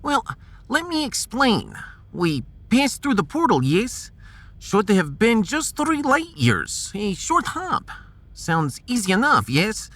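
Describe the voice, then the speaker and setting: A man's voice: high voice, wildly swooping pitch, bright, forceful tone, voice pushed up, heavily acted. A man voicing a fussy, gloomy Russian science officer in a sci-fi audio drama, with a Russian accent and pedantic manner. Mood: explaining. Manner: pedantic, tag questions, drawn-out phrasing.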